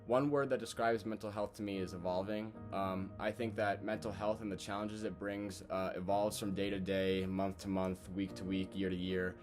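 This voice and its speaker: A man's voice: deep voice, flat delivery, moderate pace, hardly any pauses. A man of twenty, a university student, speaking earnestly and thoughtfully about his own experience. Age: 20s. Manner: earnest, thoughtful, uses fillers. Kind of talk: reflective testimonial. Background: music.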